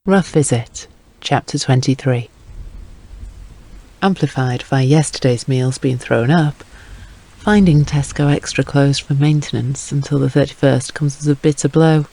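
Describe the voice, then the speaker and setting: A man's voice: medium pitch, measured pace, vocal fry, dark tone, low-quality recording. A man reading scripted dramatic narration as an audiobook narrator.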